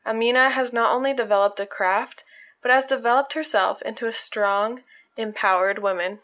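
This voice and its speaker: A woman hosting a podcast, reading stories aloud in an earnest, measured way. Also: on the high side, fairly bright tone. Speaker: podcast host.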